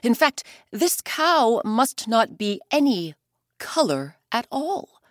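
A woman's voice: on the high side, very animated, slow pace, no room sound, studio quality, voice pushed up. A woman playing a proud, jealous storybook king. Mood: demanding. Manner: haughty, demanding, snappish.

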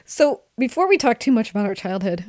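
A woman's voice: on the high side, big pitch swings, brisk, a little mellow, dry recording, studio quality. A middle-aged woman hosting a podcast in a casual, chatty interview style. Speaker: podcast host.

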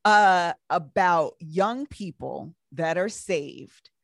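A woman in her twenties hosting a casual chat, telling stories in an animated way. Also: lowish voice, very slow, gravelly, no echo, clean studio sound. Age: 20s.

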